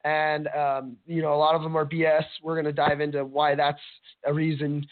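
A man hosting a casual solo podcast, rambling and searching for words, his voice a little raspy from allergies. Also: a little high, flat delivery, moderate pace. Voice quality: slightly raspy. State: allergy-affected voice. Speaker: podcast host. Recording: narrow phone-like sound.

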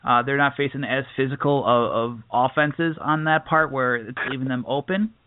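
A man hosting a conversational sports interview, haltingly building long questions and repeating words as he goes. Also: medium pitch, no room sound, narrow, tinny audio.

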